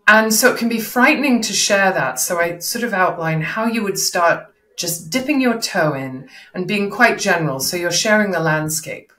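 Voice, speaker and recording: A woman's voice: medium pitch, expressive, moderate pace, few pauses, a bit bright. A middle-aged woman giving instructive public speaking advice as an interview guest, posing rhetorical questions and examples. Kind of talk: explanatory coaching advice in an interview. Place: indoor room, video call. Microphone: video call, no headset seen. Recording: echoey room over video call.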